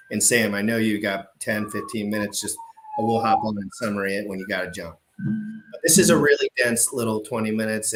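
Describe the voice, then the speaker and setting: A man hosting a livestream, pausing a clip to explain the background to his chat in a loose, off-the-cuff way. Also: lowish voice, a bit bright, poor audio. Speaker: show host reacting to an old debate clip. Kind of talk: casual commentary to a live chat.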